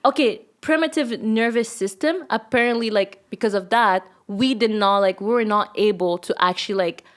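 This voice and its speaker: A woman hosting a casual podcast at a medium pitch. She talks in loose, rambling stretches, scattering "like" through nearly every line as she works her thoughts out aloud. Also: moderate pace. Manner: loose and rambling, heavy use of "like" fillers. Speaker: podcast host. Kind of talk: casual chat.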